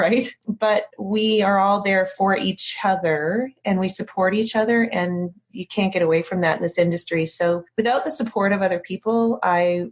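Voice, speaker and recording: Candid woman telling her own story. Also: medium pitch. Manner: candid, animated storytelling with self-quotes. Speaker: interview guest, agency founder. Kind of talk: conversational interview answers.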